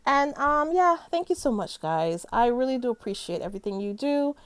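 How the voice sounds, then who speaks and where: A woman's voice: sits high, animated, normal speed, smooth, dry recording. A woman hosting a commentary channel, chatting casually and informally, rambling along as she speaks directly to her viewers.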